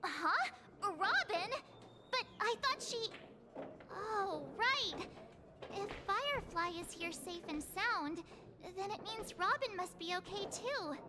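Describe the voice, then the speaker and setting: A woman voicing a bubbly, plucky teenage girl adventurer in an anime-style video game, perky and expressive. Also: very high voice, slow, heavy background noise, exaggerated voice. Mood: chatty.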